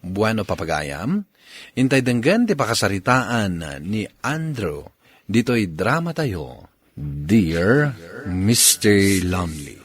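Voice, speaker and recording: A man's voice: on the low side, big pitch swings, slow, husky. A man with an Ilocano accent hosting a radio drama, giving dramatic scripted narration of a listener's letter.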